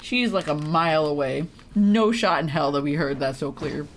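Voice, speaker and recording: A woman streams a game with casual running commentary. Her voice is on the low side and her remarks are blunt and sarcastic. There is faint noise in the background. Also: moderate pace.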